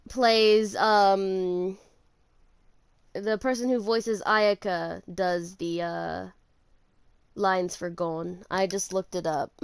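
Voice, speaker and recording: A woman doing casual live gameplay commentary as a game streamer, talking haltingly and thinking aloud to herself. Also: mid-range voice, clean tone, no room sound, clean studio sound. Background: video game music.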